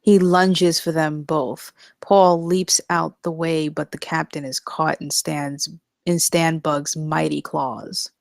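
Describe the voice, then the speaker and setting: Low-voiced woman reading stage directions. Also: flat delivery, slow pace, vocal fry, no room sound, studio quality. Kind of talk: scripted narration at a live comedy table read.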